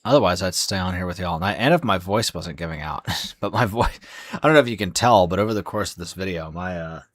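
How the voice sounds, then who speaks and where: Sleepy, hoarse low-voiced man streaming. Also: quick pace, a bit bright, no room sound, studio quality. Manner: rambling, many fillers and restarts. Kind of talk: casual live-stream chat.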